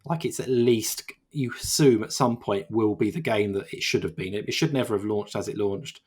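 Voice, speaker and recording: A man's voice: lowish voice, normal speed, a little mellow, slightly rough. A man co-hosting a video game podcast, chatting casually and rambling with many restarts. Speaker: podcast co-host. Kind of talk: casual chat.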